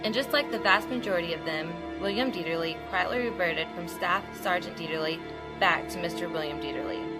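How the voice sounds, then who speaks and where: A woman's voice: fairly low pitch, a little fast, over loud music. A woman reading scripted documentary narration.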